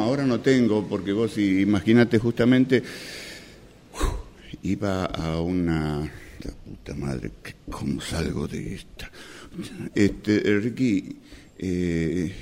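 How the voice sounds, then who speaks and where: A man's voice: lowish voice, a little mellow. A man playing an evasive, flustered debtor in an improvised radio drama exercise, stammering and wheedling with an Argentine Spanish accent.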